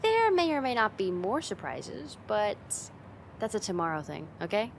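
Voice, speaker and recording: A woman's voice: mid-range voice, sing-song, normal speed, a little hiss or hum. A young woman playing a friend's teasing, affectionate older sister in a casual, intimate ASMR roleplay. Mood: pleased, reassuring. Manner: teasing, giggly, soft sighs, casual hesitant phrasing.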